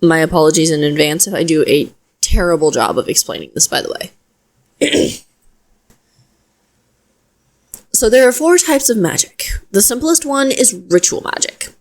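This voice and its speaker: A woman playing a chatty, flustered young wizard in an audio roleplay, speaking straight to the listener in a hesitant, expressive, conversational way. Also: lowish voice, moderate pace. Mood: earnest explaining. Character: flustered young female wizard, chatty, earnest, self-deprecating.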